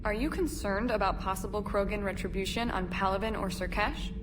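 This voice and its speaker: Game role: actress as probing war correspondent, mid-pitched, crisp, polished, noise behind her. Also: brisk, close to an everyday voice. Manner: crisp, pointed broadcast questioning. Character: poised, probing female war news reporter. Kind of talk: video game acting as news interview. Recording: clear background noise.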